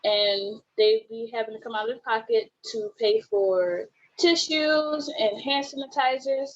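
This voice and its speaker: A young woman giving an informal testimonial as a student panelist on a video call. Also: fairly high pitch. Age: young adult. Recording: muffled video call audio.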